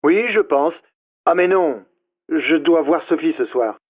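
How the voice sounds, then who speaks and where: A man's voice: on the high side, animated, unhurried. A man with a slightly raised voice speaks over a tinny phone line, answering in a hesitant, easygoing way. The sound is thin and filtered like a telephone. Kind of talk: scripted phone dialogue.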